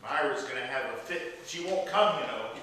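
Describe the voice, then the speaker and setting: A man's voice: medium pitch, animated, normal speed, sharp tone. A man acting on stage as an exasperated, resentful but reluctantly loving grown son, speaking with sardonic reproach. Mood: wary. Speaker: stage actor. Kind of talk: stage play dialogue. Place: theatre stage, recorded from the audience area. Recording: echoey theatre hall, distant mic, faint noise.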